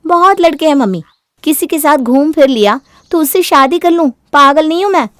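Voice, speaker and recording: Narrator putting on a brash, high-voiced young daughter. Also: female voice, lively intonation, normal speed, fairly bright tone, no room sound, clean studio sound. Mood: irritated. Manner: defiant, dismissive. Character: brash, selfish young woman talking back to her mother.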